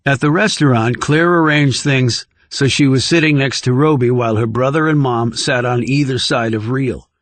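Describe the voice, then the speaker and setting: A man's voice: mid-range voice, normal speed, few pauses, no room sound. A man reading scripted thriller narration as an audiobook narrator.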